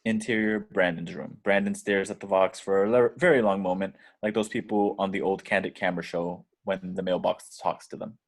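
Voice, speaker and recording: A man's voice: deep voice, steady tone, brisk, few pauses. A man narrating the action lines of a screenplay at a table read. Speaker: reader of stage directions.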